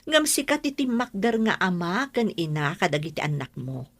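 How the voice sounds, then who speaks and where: A woman's voice: fairly low pitch, very animated, moderate pace, creaky, no echo, full character voice. A woman playing an outspoken, protective older confidante in a radio drama. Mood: concerned. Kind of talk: Ilocano radio drama acting.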